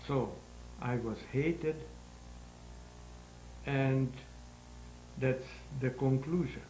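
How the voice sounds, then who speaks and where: A man in his nineties with a Hungarian accent, giving halting, deliberate interview answers about his own life in non-native English. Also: medium pitch, dark tone. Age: 90s. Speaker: interview guest, sculptor. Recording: roomy echo, faint background noise.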